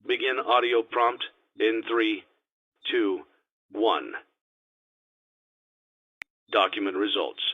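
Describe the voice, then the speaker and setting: A man voicing a calm, grave instructor on cassette training tapes for a video game, speaking formally in a measured, matter-of-fact and ominous way. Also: lowish voice, husky. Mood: matter-of-fact, dry. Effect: cassette tape filter. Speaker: instructional tape narrator. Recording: dull, lo-fi cassette tape sound.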